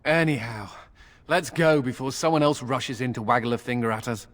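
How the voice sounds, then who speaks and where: An actor playing a flippant, rebellious young mage in a fantasy game, with a wry, informal and expressive delivery. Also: male voice, mid-range voice, normal speed, natural voice. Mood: wry, grateful. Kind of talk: fantasy game dialogue acting.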